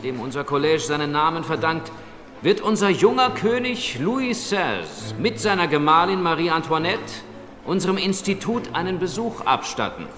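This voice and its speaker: Echoing, high-voiced ceremonious schoolmaster. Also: male voice, sing-song, moderate pace, fairly bright tone, faint music behind. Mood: solemn, proclaiming. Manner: formal, proclaiming. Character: formal, ceremonious schoolmaster addressing his college. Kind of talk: radio drama speech. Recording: echoey hall with long reverb.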